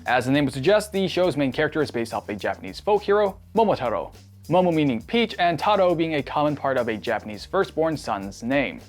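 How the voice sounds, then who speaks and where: A man's voice: on the high side, very animated, fairly quick, faint music behind. A man, a native Cantonese speaker, narrating a scripted video essay in a wry, self-deprecating way.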